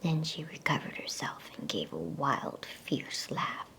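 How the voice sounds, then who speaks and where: Slow, whispering woman reading a bedtime story. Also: very deep voice, sing-song, vocal fry. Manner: hushed, soothing, unhurried.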